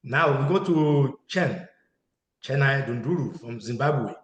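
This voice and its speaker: A man at a middle pitch moderates a video-call panel, calling on each speaker in turn. He talks with frequent fillers and pauses. His voice comes through with a roomy echo. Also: expressive. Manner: hesitant, many fillers, warm thanks to panelists.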